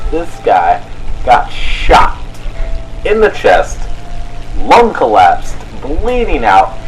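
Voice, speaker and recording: Male storyteller, mid-range voice, very lively intonation, casual and emphatic, halting and unscripted, slightly noisy recording. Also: mid-range voice. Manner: excitable, emphatic, slangy; halting, rambling, repeats phrases. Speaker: host of a storytelling video.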